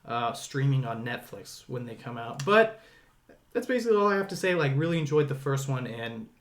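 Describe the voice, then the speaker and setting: A man's voice: mid-range voice, very animated, fairly quick, a bit bright. A man hosting a podcast, introducing topics and discussing movies in an informal, conversational way. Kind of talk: casual movie discussion chat.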